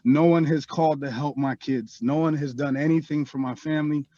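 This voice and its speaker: A man's voice: fairly high pitch, steady tone, fairly quick, warm tone, no room sound, dull audio. Earnest man pleading his case to a judge. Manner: earnest, pleading, restarts often. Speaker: father representing himself. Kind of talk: addressing the court. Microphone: video call.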